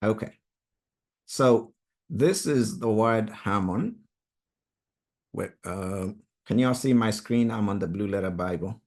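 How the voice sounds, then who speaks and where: A man's voice: fairly low pitch, animated, slow pace, long pauses, darkish tone. A man whose first language is Swahili leads an online Bible study over a video call, explaining earnestly and emphatically. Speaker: study group leader.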